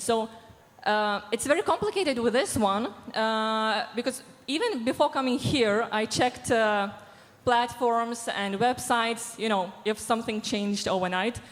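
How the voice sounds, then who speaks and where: A woman, answering panel questions through a handheld mic, measured and pausing with many fillers. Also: on the high side. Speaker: panelist from a diversity organization. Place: large event hall stage. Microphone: handheld microphone. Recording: reverberant hall sound.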